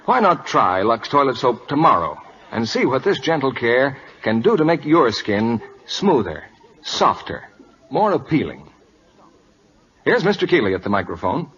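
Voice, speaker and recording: Bright, animated male radio pitchman. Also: moderate pace. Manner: polished salesman-like pitch. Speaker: radio commercial announcer. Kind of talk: 1940s scripted radio advertisement. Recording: dull old radio recording.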